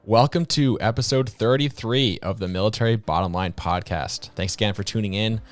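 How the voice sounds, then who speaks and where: A man's voice: lowish voice, fairly quick, faint music behind. A man hosting a podcast, interviewing in an informal, conversational way with colloquial phrasing. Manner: informal, says dude and like. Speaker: podcast host. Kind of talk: casual interview.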